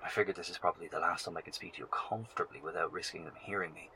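A weary, anxious professor recording a diary for survivors in a horror audio drama. His voice sits low, grave and confiding, with hesitant phrasing, over audible background noise. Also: steady tone, racing, sharp tone, low-quality recording. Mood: wary, hushed. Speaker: narrator of a survivors' broadcast.

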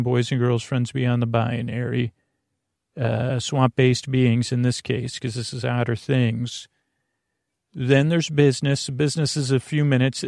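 Male podcast host, gravelly creaky voice, dry close sound, meandering goofy bedtime chatter. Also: medium pitch, a little mellow, dry recording. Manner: meandering, frequently trailing off and circling back; silly, goofy. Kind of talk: rambling bedtime podcast introduction.

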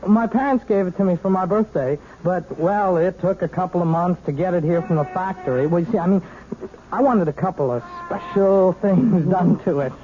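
A man's voice: high-pitched, normal speed, close to an everyday voice. A young actor playing an awkward, shy young man who stammers and hesitates, in a 1970s radio drama. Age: young adult. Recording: tinny 1970s radio broadcast with hiss.

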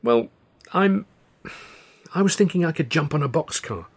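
A man's voice: fairly high pitch, animated, normal speed, breathy edge, pitched above the performer's own voice. An anxious young wife with a lifted, pleading voice, as a male narrator puts her on. She talks eagerly and presses her plans with rising urgency in a spoken storybook.

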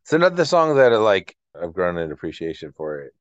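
A man's voice: sits low, sing-song, fairly quick, no room sound. A man chatting casually about an album as a podcast co-host. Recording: dull, dry lo-fi sound.

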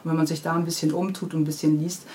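A woman's voice: sits low, level tone, rapid-fire, mellow. A woman in a casual interview, explaining her children's books in detail as their author. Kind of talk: casual interview chat about own books. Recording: clearly noisy background ambience.

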